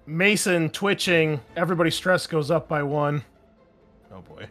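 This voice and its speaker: A man's voice: fairly high pitch, unhurried, a bit bright, soft background music. A man narrating a tabletop roleplaying game as game master, conversational and improvising rulings as he goes.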